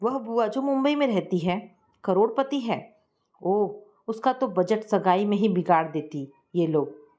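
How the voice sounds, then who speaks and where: A woman's voice: mid-range voice, measured pace, mellow, close to an everyday voice. A woman voicing an anxious middle-aged mother in a storybook reading, restrained and uneasy.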